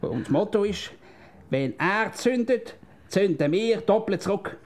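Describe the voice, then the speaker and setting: A male actor playing a blustering, know-it-all husband in a comic radio play, with a Swiss German accent and excitable, repetitive delivery. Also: high voice, measured pace, a little hiss or hum. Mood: scheming, commanding. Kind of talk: comedic radio play dialogue.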